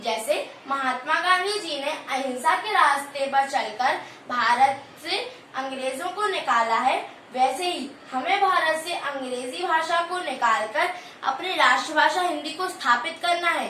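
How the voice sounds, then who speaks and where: A woman's voice: high-pitched, a little slow, sharp tone. A woman playing a female student in an amateur skit, reciting her lines in an earnest, declamatory way. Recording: echoey room, distant mic, faint hiss.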